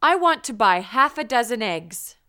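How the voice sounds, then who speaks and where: A woman's voice: fairly high pitch, big pitch swings, moderate pace, pushed and bright, clean tone. A woman reading short practice sentences for language learners, carefully enunciated. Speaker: language teacher. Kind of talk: slow drill sentences for learners.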